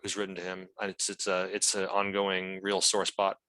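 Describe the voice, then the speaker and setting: Careful, even-toned man, low and forceful. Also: normal speed, no room sound. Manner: hesitant, careful, restarts phrases often. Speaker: legal team member speaking at a meeting. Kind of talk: informal explanation.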